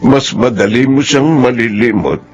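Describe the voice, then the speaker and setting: An actor playing a grieving old father in a radio drama, speaking slowly and sorrowfully. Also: mid-range voice, highs cut off, over music, lightly acted. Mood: somber. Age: older. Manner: slow, heavy, sorrowful telling. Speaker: father of the young man. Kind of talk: Filipino radio drama acting.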